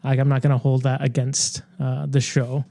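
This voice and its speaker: A man co-hosting a podcast, sharing casual review opinions in a low-key, conversational way. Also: monotone, moderate pace, gravelly, mellow, no echo. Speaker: podcast co-host.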